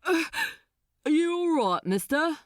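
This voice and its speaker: A woman voicing a rough but friendly working-class male ship's stoker with a Cockney accent in an audiobook. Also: high voice, sing-song, a little slow, no room sound, studio quality, voice pushed up. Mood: concerned.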